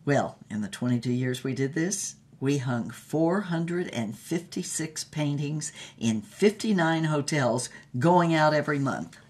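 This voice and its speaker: Woman acting defiant old lady artist, very low voice. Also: expressive, measured pace, hardly any pauses, a little mellow. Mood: proud storytelling. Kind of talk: audio drama acting, storytelling.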